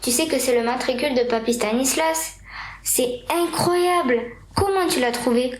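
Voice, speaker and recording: Woman as astonished mother, radio drama, high pitch, echoing room, faint noise. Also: normal speed, lightly acted. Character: attentive, astonished mother of a young girl. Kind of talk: amateur radio drama acting.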